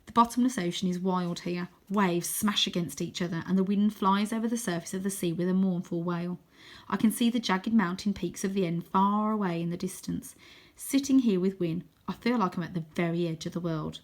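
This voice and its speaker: A woman narrating a children's adventure book in an expressive, dramatic way, sometimes hushed for suspense. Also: on the low side, fairly quick. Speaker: story reader.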